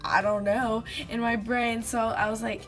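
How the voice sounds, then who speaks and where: A teenage girl vlogging casually to a webcam in her bedroom, telling stories with lots of fillers and giggles, her voice slightly hoarse from having lost it. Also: a little high, flat delivery, unhurried, fairly bright tone, soft background music. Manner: chatty, giggly storytelling with many fillers. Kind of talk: casual chat to camera. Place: small bedroom indoors.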